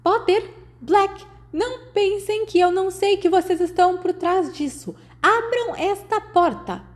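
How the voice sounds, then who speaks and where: A woman voicing a strict older female schoolteacher, shrill, stern and commanding, in an audiobook. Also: measured pace. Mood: stern anger.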